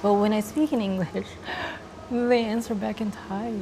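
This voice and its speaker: Young Filipino-accented woman, soft-spoken and hesitant, interview over clear ambient noise. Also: medium pitch, steady tone. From Philippines. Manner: soft-spoken, hesitant with many pauses, laughs often. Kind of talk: casual interview chat.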